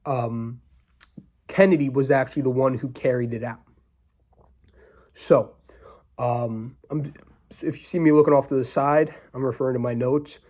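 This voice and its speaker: A congested man getting over the flu, hosting a solo history podcast in an informal, halting, opinionated way, often checking in with the listener. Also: mid-range voice, fairly even intonation, darkish tone, lo-fi sound. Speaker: podcast host.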